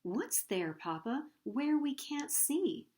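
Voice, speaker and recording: A woman's voice: high voice, very animated, takes it slowly, darkish tone, voice pushed up, heavily acted. A woman voicing a small, curious young whale child in a storybook, asking and calling out eagerly.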